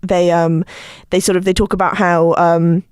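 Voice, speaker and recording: Halting woman in dry panel chat. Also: on the low side, level tone, a little mellow, clean tone, dry recording. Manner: hesitant, halting delivery with repeated words. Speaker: podcast panellist. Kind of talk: casual panel discussion.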